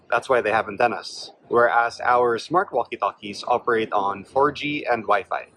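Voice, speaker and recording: A man with a medium-pitched voice walks through a product demonstration. He speaks as an explanatory presenter. There is faint noise and room ambience behind him. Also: fairly bright tone, low-quality recording.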